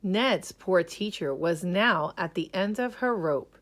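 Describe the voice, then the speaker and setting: A woman reading a children's story aloud in a rhythmic, expressive way, with dramatic emphasis on key words. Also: lowish voice, takes it slowly.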